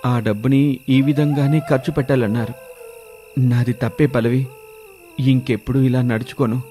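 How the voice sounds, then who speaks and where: A man plays a drunken husband from a moral storybook tale. He talks bluntly and colloquially, always on the defensive, in a mid-pitched voice. Music runs underneath. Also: steady tone, normal speed, warm tone, close to an everyday voice. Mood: pleading. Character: gruff drunken husband, defensive, later remorseful. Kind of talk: Telugu moral story dialogue.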